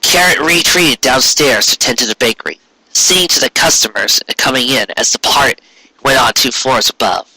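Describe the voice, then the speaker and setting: A man reading a story aloud as narrator, with expressive, earnest delivery. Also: medium pitch, moderate pace, husky, no room sound, rough recording. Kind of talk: scripted fan fiction storytelling.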